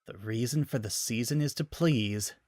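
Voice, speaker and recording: A man's voice: mid-range voice, moderate pace, dry recording, clean studio sound, natural voice. A man as a fierce, bitter female bounty hunter. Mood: hushed, bitter. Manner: terse, forceful, spitting out words.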